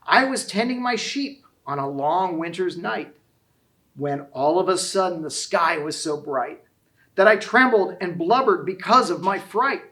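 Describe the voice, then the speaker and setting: A man's voice: sits high, lively intonation, a little slow, sharp tone, exaggerated voice. A kindly old grandfather from a children's storybook, voiced by a man. He is a former shepherd, sorrowful and tender, and he tells his memories in earnest rhyme. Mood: earnest, reminiscing. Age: older.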